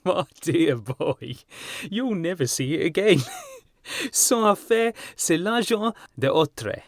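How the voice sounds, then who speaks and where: An amused gentleman painter performed by a male audiobook reader who teases with breezy confidence. The pitch rides high and swoops around, with emphatic, flamboyant phrasing in a very dry recording. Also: wildly swooping pitch, takes it slowly, no echo, exaggerated voice. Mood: laughing, teasing. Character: amused, worldly, teasing young gentleman painter. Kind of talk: audiobook character dialogue.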